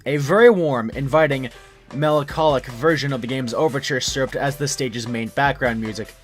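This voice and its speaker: A man reading a scripted first-person creepypasta story as its narrator. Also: medium pitch. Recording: music under the narration.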